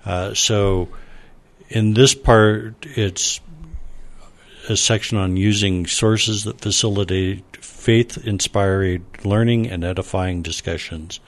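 A man who is a scholar and panel guest, explaining in a measured way with frequent fillers. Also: on the low side, fairly even intonation. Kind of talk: panel discussion.

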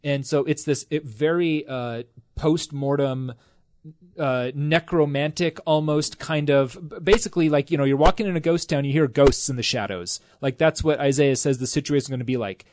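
A man's voice: on the high side, moderate pace, a little mellow, no echo, clean studio sound. A man in a casual scripture study discussion, talking with enthusiasm, rambling, with lots of fillers and restarts.

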